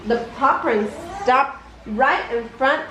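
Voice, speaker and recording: Slow high-voiced woman reading a storybook. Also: pushed and bright. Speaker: children's book reader. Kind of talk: read-aloud bedtime story narration. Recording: roomy echo, noticeable background noise.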